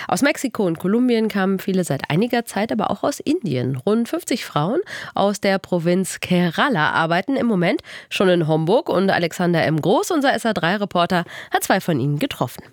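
A woman's voice: medium pitch, sing-song, moderate pace, no echo. A woman presenting a radio magazine in a chatty way, close on a studio microphone. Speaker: radio host.

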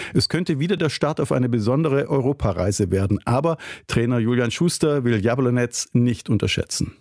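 A man's voice: medium pitch, a little mellow, no room sound, studio quality. A man presenting scripted sports news on the radio.

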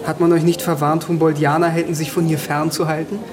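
A man's voice: on the high side, flat delivery, fast, a crowd in the background, natural voice. An actor playing an eager, friendly young male philosophy student.

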